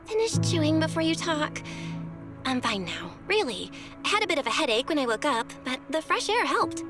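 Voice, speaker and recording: A woman voicing a calm, kind young female manager in a video game, speaking gently with a light teasing touch. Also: high voice, normal speed, music in the background. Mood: easygoing.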